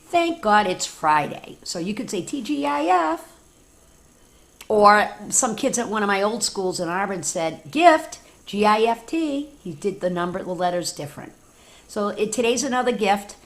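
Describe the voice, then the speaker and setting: A woman with an American accent reading a story aloud to children, animated and informal, with chatty asides. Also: medium pitch. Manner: animated, informal, talks directly to young children. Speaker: teacher reading stories to children.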